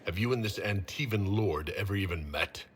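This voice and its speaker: An actor playing a heroic commander in a video game, plain-spoken and direct with a wry streak. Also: male voice, low voice, moderate pace, a little hiss or hum, lightly acted. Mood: calm, earnest. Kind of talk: video game cutscene acting.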